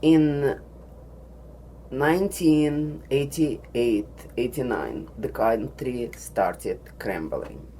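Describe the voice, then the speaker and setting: Slow, deliberate woman with a Russian accent. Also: extremely low pitch, a little mellow, slight background noise. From Soviet Union. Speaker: guest speaker. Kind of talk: personal storytelling speech. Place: studio.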